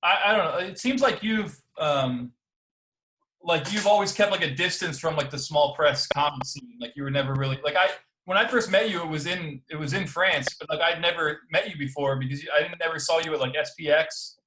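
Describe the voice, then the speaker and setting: A man from the United States hosting a casual interview, asking quick, easygoing questions. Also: fairly high pitch, pushed and bright, rough recording. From United States (Denver). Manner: quick, easygoing questioning with brisk back-channel replies. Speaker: host.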